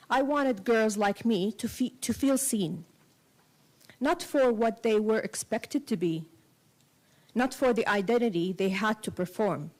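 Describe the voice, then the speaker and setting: A woman novelist who grew up between Kuwait and Saudi Arabia, a native Arabic speaker, reading a prepared essay aloud in measured, deliberate phrases. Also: fairly high pitch, a little mellow, poor audio. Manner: measured, deliberate phrasing in short cadenced clauses.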